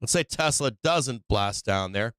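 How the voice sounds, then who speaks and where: A man's voice: mid-range voice, expressive, a little slow, a bit bright, no room sound. A man who is losing his voice from being sick, hosting a casual stock-trading livestream, thinking aloud over charts and tossing in rhetorical "right?"s. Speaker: stock market livestream host.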